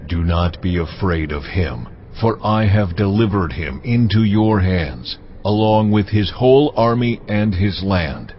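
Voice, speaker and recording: A man voicing God, deep and commanding. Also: lively intonation, unhurried, lo-fi sound, slight background noise. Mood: reassuring.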